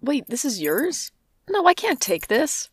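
A woman's voice: high voice, very animated, a little slow, dry recording, clean studio sound. A woman playing a guarded, wry young adult who is estranged from family, talking and narrating introspectively in an audio drama. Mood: wry, casual. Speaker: main character and narrator.

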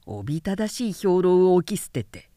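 A woman professional narrator reading a literary story in a deliberate, expressive, dramatic style. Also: fairly low pitch, dry recording, clean studio sound.

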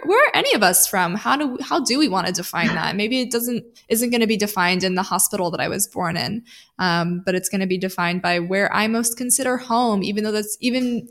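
A woman hosting a podcast, relaxed and rambling, asking questions and swearing casually. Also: mid-range voice. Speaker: podcast host. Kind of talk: casual interview.

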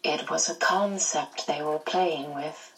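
Woman reading a kindly otherworldly guide; gentle, measured explanations. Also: sits low, distant mic, slight background noise, full character voice.

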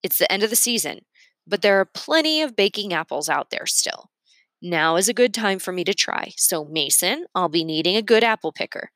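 A woman voicing a firm, practical grandmother, older, with a brisk no-nonsense manner. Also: mid-range voice, lively intonation, a bit bright, no room sound, natural voice. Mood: brisk, decided.

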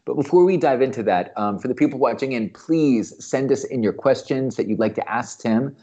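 A man's voice: mid-range voice, expressive, fairly quick, mellow, no echo. A man hosting a podcast, reading an upbeat intro and then asking interview questions. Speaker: podcast host.

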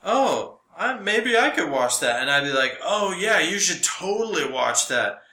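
A man chatting casually as a podcast co-host, telling stories in an animated way and acting out bits of dialogue. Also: sits high, measured pace. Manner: animated storyteller, quotes people in dialogue. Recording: roomy, echoing room sound.

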